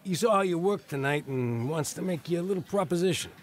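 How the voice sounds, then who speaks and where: An actor playing a tough, chummy barroom bouncer with slangy talk in a radio drama. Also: male voice, on the high side, animated, fairly quick, soft background music, natural voice. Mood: breezy, chummy.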